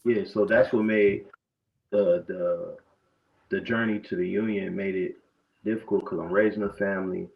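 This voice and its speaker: Gravelly-voiced man. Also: deep voice, fairly even intonation, moderate pace. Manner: loose, conversational storytelling with repeated restarts. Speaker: barber guest. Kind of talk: casual interview talk. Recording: dull, muffled lo-fi sound.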